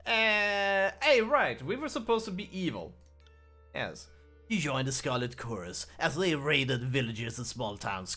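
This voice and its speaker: A man's voice: mid-range voice, creaky, bright, forceful tone, slightly rough. A man hosting a let's play, reading the game's text aloud and often restarting words as he stumbles.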